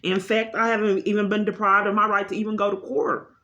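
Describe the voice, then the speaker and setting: A woman's voice: medium pitch, flat delivery, a little fast, pushed and bright, lo-fi sound. A woman caller speaking unscripted in an insistent, long-winded complaint, often repeating herself.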